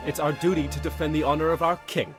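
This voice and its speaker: A man playing an excitable young village sidekick in a video game cutscene. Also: on the high side, fast, soft background music, natural voice. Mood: eager.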